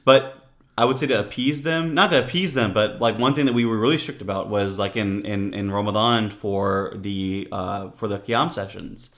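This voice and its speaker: Low-voiced man talking haltingly. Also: fairly bright tone. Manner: halting, with many restarts and "if you will". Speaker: podcast guest. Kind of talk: casual podcast conversation.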